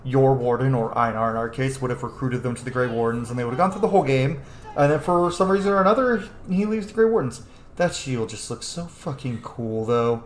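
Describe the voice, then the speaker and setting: A man's voice: mid-range voice, a little fast. A man casually commentates his way through a video game in an echoey room. He is chatty and swears freely in his asides, with game ambience and faint noise behind him. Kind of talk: casual let's play commentary.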